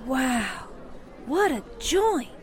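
A woman's voice: high-pitched, big pitch swings, extremely slow, exaggerated voice. A woman playing a breezy, slangy, wistful young newspaper sidekick in a 1940s-style radio drama. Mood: wowed, eager.

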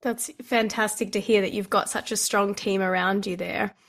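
A woman hosts a podcast interview in an easy conversational tone at middle pitch. She sets up her questions with encouraging remarks and small fillers. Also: fairly even intonation, normal speed. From Australia. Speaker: podcast host.

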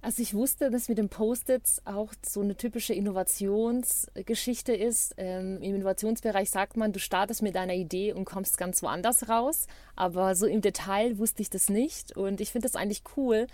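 A woman's voice: medium pitch, steady tone, moderate pace, mellow. A woman co-hosting a podcast, speaking German that is not her first language, enthusiastic and reflective in casual chat. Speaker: podcast co-host.

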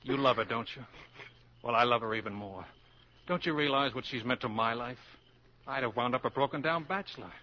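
A middle-aged professor in a vintage radio romance, ardent and emphatic. His mid-pitched voice is bright and forceful. The old recording is muffled. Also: fairly quick. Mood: urgent, pleading. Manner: earnest, emphatic, theatrical delivery. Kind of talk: 1940s radio drama acting.

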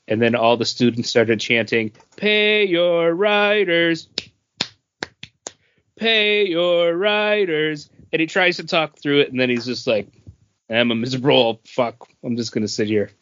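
A man's voice: on the high side, unhurried, a bit bright, a little hiss or hum. Blunt man in lo-fi podcast chat. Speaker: podcast co-host. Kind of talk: casual pop culture podcast chat.

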